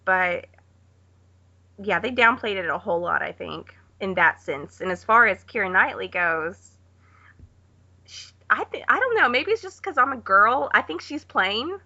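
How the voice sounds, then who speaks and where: A woman's voice: mid-range voice, sing-song, measured pace, sharp tone. A woman chatting casually about films as a podcast panelist, informal, offhand and blunt. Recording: dull lo-fi podcast audio.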